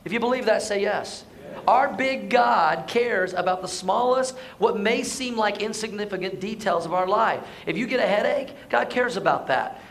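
A hoarse man preaching a conversational Sunday sermon, asking the congregation to answer back. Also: high voice, normal speed. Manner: conversational, asks the congregation to respond. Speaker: pastor. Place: church sanctuary.

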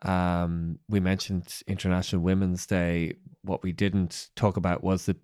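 Clear, slightly low-voiced man interviewing. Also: level tone, unhurried, a little mellow, dry recording, clean studio sound. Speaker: interviewer, podcast host. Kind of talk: conversational interview.

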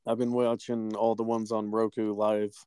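A man's voice: fairly low pitch, monotone, normal speed, warm tone, clean tone, no room sound, clean studio sound. A man hosting a podcast, chatting casually about films and TV and acting out mocking quotes as he goes. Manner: acts out mocking quotes while recounting. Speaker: podcast host.

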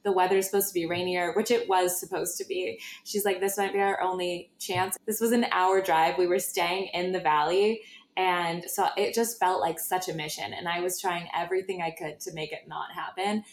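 A woman's voice: on the low side, fairly even intonation, normal speed, a little hiss or hum. A woman hosting a podcast, telling a personal story in a casual, gushing and emphatic way. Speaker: podcast host. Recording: roomy, echoey sound.

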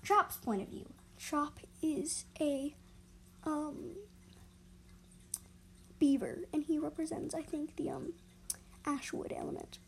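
A woman with a fairly high voice narrates animal stories in an amateur way. She stumbles, repeats herself and steps out to explain. There is audible background noise on the recording. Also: gravelly. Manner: halting, stumbles and repeats words. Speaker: storyteller reading own animal stories.

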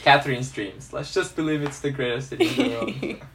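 Playful man hosting a podcast, higher voice. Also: very animated, a little fast, vocal fry, background noise. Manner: friendly, playful, hesitant restarts. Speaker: podcast host. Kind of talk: hosting a casual interview podcast.

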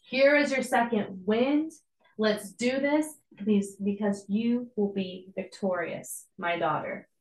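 Slightly hoarse young woman preaching. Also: mid-range voice, slow pace, reverberant, a little hiss or hum, poor audio. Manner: encouraging, conversational preaching with casual asides. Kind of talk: devotional sermon.

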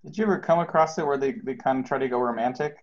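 A man co-hosting an interview, playful and teasing, asking quick questions. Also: medium pitch, lo-fi sound. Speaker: podcast co-host. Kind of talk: casual interview chat.

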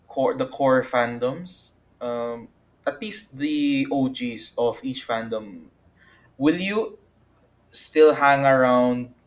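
Podcast co-host: young man, mid-range voice, unhurried and halting, measured, casual analysis. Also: mid-range voice. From Philippines.

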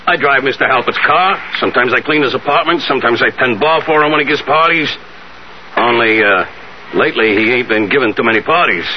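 A man's voice: medium pitch, fairly quick, natural voice. Blunt chauffeur, acted by a man, muffled noisy radio. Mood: casual, offhand. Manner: slangy, blunt. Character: working-class male chauffeur, wary, dismissive pool-playing pal. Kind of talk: 1970s radio drama acting. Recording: dull, noisy 1970s radio recording.

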